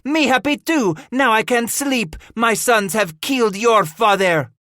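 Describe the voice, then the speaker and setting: A man voicing a shrill, defiant aging French matriarch in an audiobook, shrieking curses in broken English. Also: a little slow, fairly bright tone, no room sound, studio quality. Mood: gloating.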